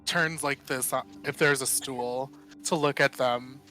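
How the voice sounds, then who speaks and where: A man's voice: mid-range voice, steady tone, measured pace, pushed and bright, faint music behind. A man playing a tabletop roleplaying game, casually speaking for and narrating his character.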